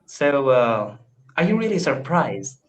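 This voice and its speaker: A man's voice: medium pitch, sing-song, slow. A young man with an Indian accent, practicing casual English conversation on a video call, often hesitating and repeating words as he starts sentences. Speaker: English learner.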